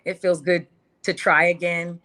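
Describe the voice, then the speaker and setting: A woman's voice: lowish voice, level tone, a little slow, fairly bright tone. A woman of about thirty telling her personal story casually as an interview guest, emphatically, repeating phrases and asking rhetorical questions.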